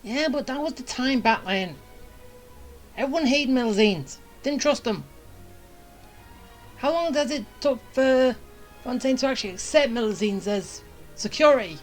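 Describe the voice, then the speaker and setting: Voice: high-pitched, expressive, moderate pace, long pauses, soft background music. A game streamer giving casual reaction commentary, with joking, muttered asides. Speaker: streamer playing a video game.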